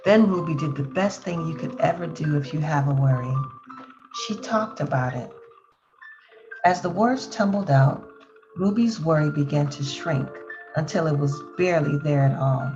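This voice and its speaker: Woman storyteller, deep register, children's read-aloud, dull lo-fi sound, faint music behind. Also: normal speed.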